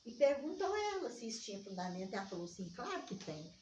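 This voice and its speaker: A woman's voice: medium pitch, fast talker. Older Brazilian woman storyteller, echoey distant recording. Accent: Brazilian Portuguese from Minas Gerais. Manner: animated, re-enacts remembered dialogue. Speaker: interviewee, community organizer. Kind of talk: personal storytelling in conversation. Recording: echoey room, distant mic, dull, faint noise.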